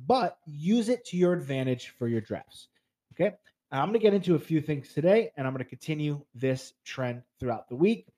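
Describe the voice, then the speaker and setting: A man's voice: fairly high pitch, warm tone. A man in his thirties from New Jersey hosting a fantasy football podcast, chatty and upbeat, talking straight to his listeners while stuffed up with a cold. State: stuffed up, congested. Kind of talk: casual podcast monologue.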